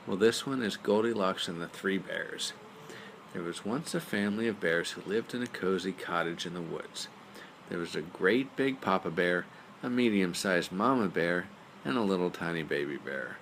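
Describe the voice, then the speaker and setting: A man reads a bedtime story as narrator. His voice is low with plenty of fry, and there is faint noise behind it. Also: animated, moderate pace, a bit bright, low-quality recording. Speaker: storyteller, father reading aloud.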